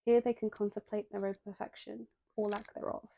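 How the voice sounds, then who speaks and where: A young woman hosts a video essay in a low-lit, mellow voice with a gravelly edge. She sounds a little nasal and reads her script steadily, then slips into self-deprecating asides. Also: level tone, a little fast, dull audio. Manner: self-deprecating, breaks into apologetic tangents.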